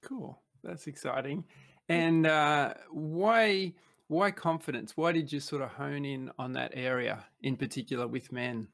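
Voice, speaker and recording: A man hosts a podcast interview with a gravelly, creaky voice at a middling pitch. He speaks earnestly, pausing and restarting often. The sound is dry and close. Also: a bit bright, no echo. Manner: halting and earnest, many fillers and restarts. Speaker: podcast host. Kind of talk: casual interview.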